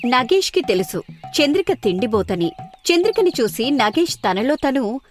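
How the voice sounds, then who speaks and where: A woman's voice: high-pitched, sing-song, moderate pace, soft background music. A woman narrating a scripted children's story and voicing its dialogue.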